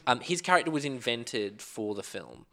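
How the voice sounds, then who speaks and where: A man's voice: mid-range voice, animated, moderate pace, pushed and bright. A man co-hosting a podcast, chatting enthusiastically about films with many fillers and restarts. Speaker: podcast co-host. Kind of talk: casual film review chat.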